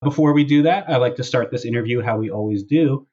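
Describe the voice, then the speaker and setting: Husky man hosting, dull muffled audio. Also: medium pitch, fast talker, darkish tone. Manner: jokey, playful exaggeration. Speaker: podcast host. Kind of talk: casual comedic podcast banter. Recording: dull, band-limited audio.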